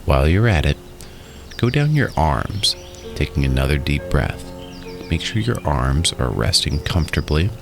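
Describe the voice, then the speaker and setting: A man narrating a guided relaxation in a soothing, instructional way, in short phrases with pauses. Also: very deep voice, sing-song, creaky. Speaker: guided meditation host. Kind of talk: guided meditation and hypnosis narration. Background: music.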